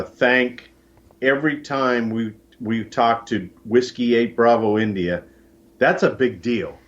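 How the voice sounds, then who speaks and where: A man answering as an interview guest in a deliberate, earnest, conversational way with frequent pauses. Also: mid-range voice. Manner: deliberate, earnest, pausing often. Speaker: interview guest, event organizer. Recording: muffled, band-limited call-in audio.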